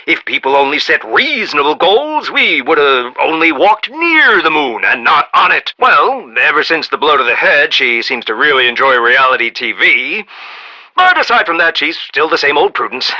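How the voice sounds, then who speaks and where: An actor playing a blustering, demanding, eccentric middle-aged inventor boss in a video game, folksy, emphatic and theatrical. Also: male voice, on the high side, big pitch swings, moderate pace, sharp tone, exaggerated voice, poor audio. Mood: wry. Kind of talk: scripted game dialogue.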